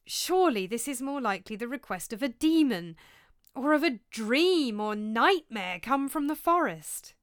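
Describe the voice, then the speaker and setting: A woman's voice: on the high side, expressive, moderate pace, clear, resonant tone, dry recording, clean studio sound, pitched above the performer's own voice, lightly acted. A woman voicing a prince's loyal male friend in a storybook reading, worried, careful and questioning. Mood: concerned, doubting.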